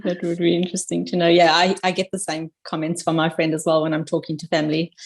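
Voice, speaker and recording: A woman's voice: on the low side, completely flat delivery, quick pace. A woman with a South African accent, a podcast guest earnestly sharing her own investing experience in casual conversation.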